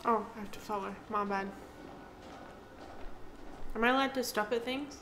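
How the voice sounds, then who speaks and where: A woman's voice: fairly high pitch, normal speed, a little hiss or hum. A young woman casually reacting as she plays a video game beside a co-host. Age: young adult. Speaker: gaming co-host playing the game. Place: indoor setup, seated beside co-host. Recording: roomy, loud game audio underneath.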